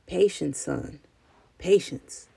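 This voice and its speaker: A woman reader acts out an old storybook grandfather, patient and proud. The voice is mellow with some gravel, and the delivery is measured and gently reassuring. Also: low voice, dry recording, heavily acted. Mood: patient, gentle. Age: older. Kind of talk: children's storybook character voice.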